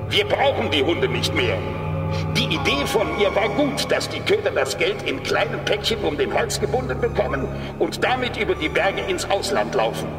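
Radio play villain monk, high-pitched for a man, swooping, menacing, sneering, loud music behind. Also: very animated, moderate pace. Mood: menacing, contemptuous. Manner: sneering, domineering. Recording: echoey hall, slightly distant, loud music.

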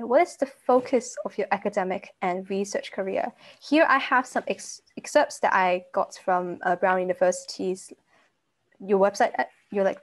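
A teenage girl hosting a webinar on a video call, polite and partly reading from a script. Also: mid-range voice. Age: teens. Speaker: webinar host. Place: home room on a video call. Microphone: laptop mic on video call.

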